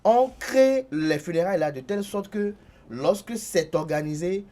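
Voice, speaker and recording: A man's voice: sits high, normal speed, dark tone. A man on a studio panel, talking insistently and repeating words as he cuts in. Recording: faint background noise.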